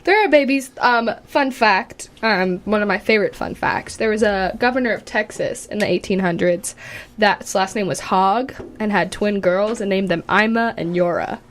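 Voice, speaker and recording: A woman's voice: medium pitch, moderate pace, a little hiss or hum. A young woman co-hosting a college radio show in a studio, chatting casually and laughing often between lines. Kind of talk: casual chat. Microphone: studio mic.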